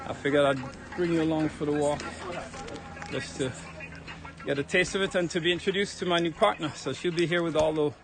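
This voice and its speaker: A man's voice: on the high side, brisk, rough recording. A man with a Jamaican accent chatting casually to viewers on a livestream while walking uphill outdoors, a bit out of breath. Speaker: livestreaming fitness vlogger. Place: outdoors on a hill road. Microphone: phone held while walking.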